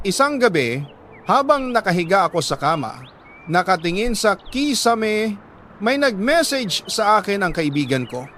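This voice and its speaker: A Filipino man reading a listener's letter as a radio host, in solemn, sentimental dramatic narration with deliberate pauses. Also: high voice, big pitch swings, fairly bright tone, a little hiss or hum. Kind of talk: dramatic scripted narration of a confessional love letter.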